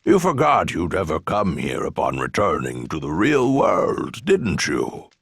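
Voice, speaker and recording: An actor playing a gentle old amnesiac island hermit in a video game, with a husky, gravelly voice and a courteous, wistful manner. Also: male voice, rumbling low, wildly swooping pitch, measured pace, no room sound, clean studio sound, heavily acted. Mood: musing. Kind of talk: video game cutscene acting.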